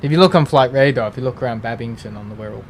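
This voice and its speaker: A man's voice: mid-range voice, very fast, slight background noise. A man with a Merseyside accent, hosting a livestream and chatting casually with viewers, rambling with lots of fillers and restarts. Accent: Merseyside English. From England. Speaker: livestream host. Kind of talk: casual chat with live viewers.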